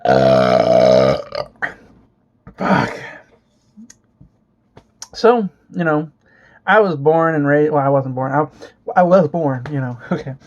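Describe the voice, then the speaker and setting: A man's voice: fairly high pitch, very animated, slow, pauses often. A young man from Florida chatting casually as a vlogger, rambling and swearing with lots of fillers and restarts. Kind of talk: casual chat. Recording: dull lo-fi home recording.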